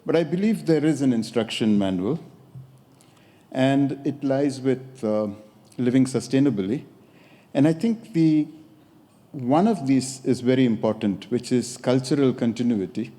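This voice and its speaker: Mellow Indian-accented older man, echoey hall. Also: mid-range voice. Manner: measured, pausing with occasional hesitations. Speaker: design academic giving a talk. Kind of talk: conference talk. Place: lecture hall at a podium. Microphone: podium microphone.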